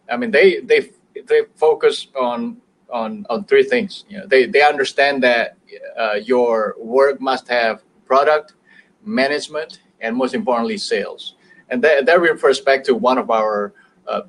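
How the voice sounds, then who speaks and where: A middle-aged man with an Indonesian accent speaks in a mid-pitched voice on a business talk show. He pauses often, fills gaps and restarts words, with an occasional chuckle. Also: rough recording. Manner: hesitant, many fillers and restarts, chuckles. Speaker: business show guest expert.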